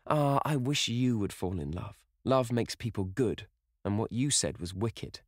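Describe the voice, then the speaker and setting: A man's voice: lowish voice, animated, measured pace, no echo, clean studio sound, voice pushed up. A man voicing a dreamy, playful young woman in love, as an audiobook character. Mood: joyful, tender.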